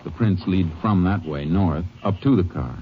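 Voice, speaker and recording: Actor as a deep-voiced, calm Texas Ranger. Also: male voice, dull audio, noisy background, close to an everyday voice. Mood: methodical, focused. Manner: measured, matter-of-fact, deliberate. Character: calm, methodical male Texas Ranger investigator. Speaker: lead actor and narrator in a western radio drama. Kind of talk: old-time radio western acting and narration. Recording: old radio recording with music.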